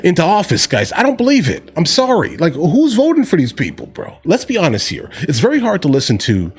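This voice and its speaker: A man's voice: a little high, huge pitch swings, brisk, breathy edge. A man giving casual, slangy commentary to his audience, with exasperated rhetorical questions. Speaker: reaction video commentator.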